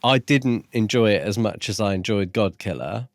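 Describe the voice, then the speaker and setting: A man's voice: on the low side, lively intonation, normal speed, gravelly, dry recording. A man co-hosting a book review podcast, recapping and discussing a novel. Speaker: podcast co-host.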